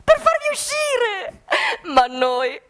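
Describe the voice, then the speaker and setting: High, wildly animated zealous saleswoman. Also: slow pace, exaggerated voice. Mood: persuasive. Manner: theatrical, gushing sales patter, melodramatic emphasis. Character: gleeful, zealous saleswoman of armored panic rooms. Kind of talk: satirical radio theatre acting.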